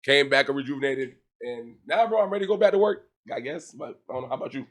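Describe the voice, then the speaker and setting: A man's voice: medium pitch, animated, fast. A man co-hosting a casual podcast, laid-back and slangy as he introduces the guests. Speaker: podcast co-host.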